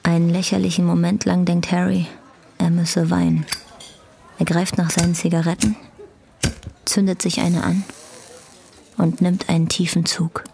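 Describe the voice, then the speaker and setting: A woman's voice: on the low side, little pitch movement, normal speed, creaky, pauses often, dark tone, slight background noise. A woman narrating a domestic radio drama in the third person, reading scripted literary prose. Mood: measured.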